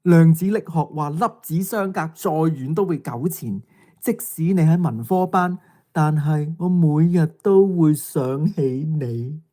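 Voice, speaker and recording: A woman acts out a bossy schoolgirl in an audio drama, with a fairly low female voice. She sounds theatrical and mocking, speaking with a Hong Kong Cantonese accent. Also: measured pace, dark tone. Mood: mockingly reading aloud. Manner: taunting, theatrical delivery.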